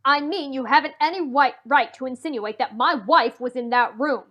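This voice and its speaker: Lady doing a cagey husband in an old radio drama, kinda high voice, stammers, on the defensive. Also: bright, forceful tone. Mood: indignant. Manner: halting, stammering. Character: defensive, evasive gentleman householder under police questioning.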